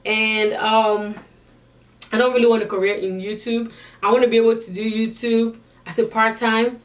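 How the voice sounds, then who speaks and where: A woman's voice: medium pitch, recorded from a distance, a little hiss or hum. A young woman with an accent chatting to her viewers and answering their questions, with frequent restarts. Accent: non-native English accent. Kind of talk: casual Q&A vlog. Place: indoors, in front of a sequined backdrop.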